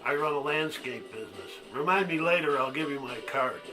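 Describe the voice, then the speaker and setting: A man voicing a chatty, intrusive small-town barfly in a stage monologue, talking loudly and pushing for conversation. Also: mid-range voice, lively intonation, fairly quick, faint music behind. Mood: chatty, prying. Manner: loud, intrusive, talkative.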